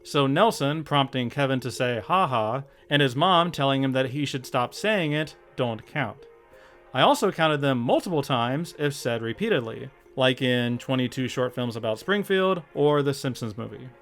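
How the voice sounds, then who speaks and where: A man's voice: medium pitch, animated, moderate pace, a bit bright. A man hosting a video essay, narrating in a casual, jokey, emphatic way with mock exasperation. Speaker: video host, YouTuber. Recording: music behind the voice. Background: background music.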